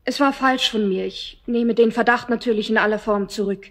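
Mother role for a woman: neighbour in a children's audio drama, slightly high voice. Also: normal speed, close to an everyday voice. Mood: flustered, apologetic. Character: mother, suspicious neighbour turning embarrassed and apologetic. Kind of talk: children's radio play acting.

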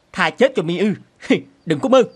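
A man's voice: sits high, animated, a little slow, breathy edge, heavily acted. A man voicing a burly, mocking, suspicious hunter in a cartoon, theatrical and blustering. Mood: scornful. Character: burly middle-aged male hunter, gloating, mocking, suspicious. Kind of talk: cartoon character acting.

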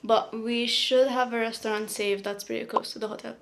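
A woman's voice: a little high, steady tone, a little fast, noisy background. A young woman in her twenties, a travel vlogger chatting to a handheld camera as she walks around a city, laughing often as she describes her plans. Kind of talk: casual travel vlog to camera. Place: outdoors in a city, streets and stadium grounds. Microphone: handheld camera at arm's length.

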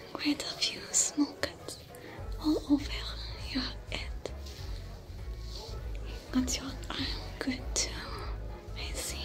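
A woman voicing a female alien smuggler with a French accent, whispering gently in an ASMR roleplay. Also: high-pitched. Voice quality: whispery, soft-spoken. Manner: slow, soothing, caring.

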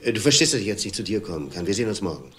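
Low-voiced, controlled middle-aged widower. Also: male voice, very animated, rapid-fire, a bit bright, a little hiss or hum. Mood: subdued, hesitant. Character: composed, evasive widowed husband with a mistress. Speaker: actor in a crime drama. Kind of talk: German television crime drama acting.

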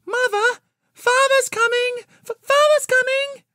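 A man voicing an excited young Victorian child in an audio storybook, shrill, eager and exclaiming. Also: unhurried, dry recording, clean studio sound, heavily acted.

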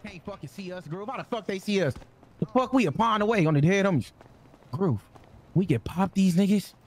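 A man's voice: high-pitched, animated, a little fast. An American man in casual gaming banter, talking urgently and insistently in profane slang. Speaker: role-play gamer.

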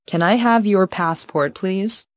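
A woman reads short English travel phrases one at a time, slow and careful, as if for learners to repeat. Her voice sits at an ordinary middle pitch. The sound is dry and close with no room around it. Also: dry recording. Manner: careful, evenly paced, each phrase read alone.

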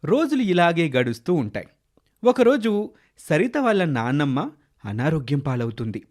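A man's voice: a little high, very animated, normal speed, a little mellow. A man narrating a scripted children's moral story.

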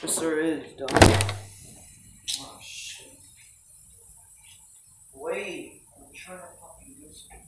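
A man's voice: a little high, slow pace, long pauses, echoey room, noisy background. A teenage boy in casual voice chat while gaming, bossy and trash-talking. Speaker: gamer.

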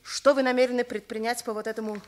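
An actress playing a rigid, principled older schoolteacher, emphatic and lecturing, in Soviet radio theatre. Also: female voice, fairly high pitch, steady tone, quick pace, lightly acted. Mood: cold, firm.

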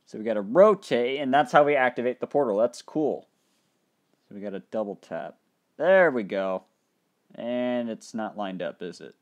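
A young man hosting a casual game commentary, thinking aloud and breaking into loud excited outbursts, his mouth dry and his voice getting tired. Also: medium pitch, moderate pace. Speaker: gaming channel host. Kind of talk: casual let's play commentary.

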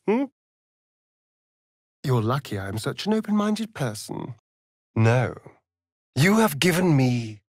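A man's voice: mid-range voice, breathy edge, dry recording, clean studio sound, heavily acted. A male actor playing a sardonic, flirtatious vampire rogue in a video game, with an arch, mocking, theatrical drawl. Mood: arch, pleased, then sincere, measured. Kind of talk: video game character voice lines.

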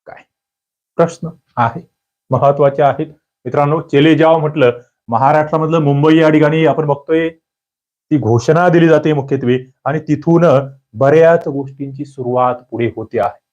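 Casting Male exam-prep teacher, somewhat high voice, quizzing students, muffled lo-fi audio. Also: steady tone, darkish tone. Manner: quizzing, demanding quick answers. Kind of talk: quiz-style lecture. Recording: muffled low-fidelity recording.

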